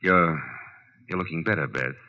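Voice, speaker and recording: An actor playing a wry, world-weary private detective in 1940s radio noir style, dry and sardonic. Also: male voice, low-pitched, moderate pace, close to an everyday voice. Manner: dry, hard-boiled, sardonic. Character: wry, tough, world-weary male private detective narrating his case. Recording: muffled old radio recording with music.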